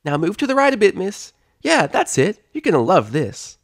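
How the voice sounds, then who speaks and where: A man voicing a perky, naive little filly with a chirpy, squeaky manner in a cartoon story reading. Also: huge pitch swings, moderate pace, heavily acted. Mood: cheerfully bossy.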